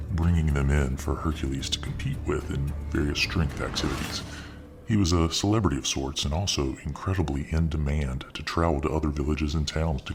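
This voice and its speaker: Male ASMR storyteller, extremely low voice, hushed whispery delivery, faint hiss. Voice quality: very deep voice. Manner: slow, soft, partly whispered delivery. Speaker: narrator.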